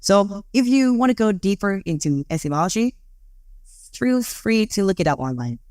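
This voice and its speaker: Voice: medium pitch, lively intonation, normal speed, a little mellow, no echo, studio quality. A student in their late teens with a Thai accent, closing an educational podcast in a casual, friendly way. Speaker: host wrapping up the show. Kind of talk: scripted podcast outro.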